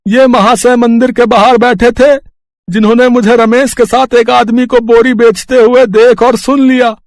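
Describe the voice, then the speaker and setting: A man's voice: extremely high pitch, flat delivery, no room sound, clean studio sound, voice pushed up. A man voicing a remorseful, frightened rickshaw driver, pleading as he confesses in a story. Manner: slow, pleading.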